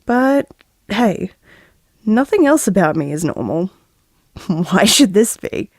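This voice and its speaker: Audio drama expectant mother, tender and wry, unhurried, lively pitch. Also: no echo, clean studio sound. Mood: wondering, wry. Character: pregnant woman, tender, wry, talking to unborn baby. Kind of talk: intimate audio drama monologue.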